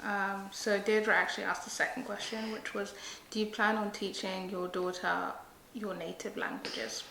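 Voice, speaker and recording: A woman co-hosts a casual chat, talking in an easy way and saying "like" often. Her voice is bright and crisp, but it stays fairly flat in pitch. The room adds an echo and a faint background hiss. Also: normal speed. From Zimbabwe, raised in the UK from age four. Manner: chatty, leans on "like" a lot. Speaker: co-host. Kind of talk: casual chat answering viewer questions.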